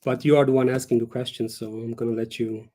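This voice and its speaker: Earnest man, mellow voice. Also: medium pitch, flat delivery, fast talker. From Turkey. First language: Turkish. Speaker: guest author. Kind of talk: casual interview over a live stream.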